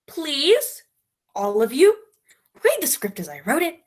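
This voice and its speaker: A woman's voice: high voice, huge pitch swings, unhurried, heavily acted. A woman playing a domineering, self-important playwright and director in a theatrical comedy, with a grandiose, imperious manner. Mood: exasperated. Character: pompous, domineering female radio playwright-director, self-declared genius.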